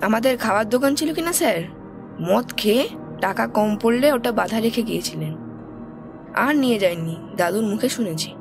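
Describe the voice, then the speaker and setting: Audio drama schoolboy, polite, respectful, plain-spoken, clear music behind. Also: female voice, fairly high pitch, animated, moderate pace. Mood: earnest, respectful. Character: polite young schoolboy answering his teacher.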